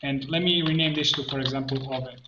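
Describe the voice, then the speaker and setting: A man presenting an informal screen-share tutorial, thinking aloud step by step in halting phrases. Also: medium pitch, fairly even intonation. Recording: roomy echo, muffled band, background noise. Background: keyboard typing and mouse clicks.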